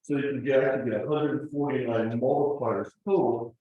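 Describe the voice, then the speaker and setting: A man's voice: mid-range voice, steady tone, a little fast, phone-quality sound. A man giving an informal slideshow lecture as a club presenter, explaining rules in a conversational, explanatory way with casual asides. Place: echoey indoor meeting room. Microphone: distant room microphone. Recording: very echoey room, distant mic.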